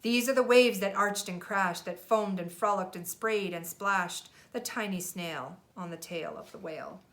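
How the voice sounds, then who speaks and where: A woman narrates a children's storybook in the manner of a mother reading at home. Her pitch is middling, and the delivery is expressive storytelling. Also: moderate pace. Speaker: mother reading picture books aloud.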